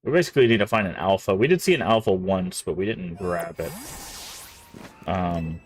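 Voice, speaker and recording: A man's voice: low voice, normal speed, soft background music. A young man streaming gameplay, chatting casually and restarting his sentences, sounding a little congested and nasal. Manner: rambling, restarts phrases often.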